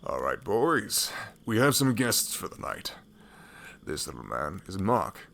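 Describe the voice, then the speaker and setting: A man voicing an older, gruff but jovial leader of travelers, teasing and self-assured, in a fantasy audio roleplay. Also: low voice, big pitch swings, unhurried, fairly bright tone, exaggerated voice.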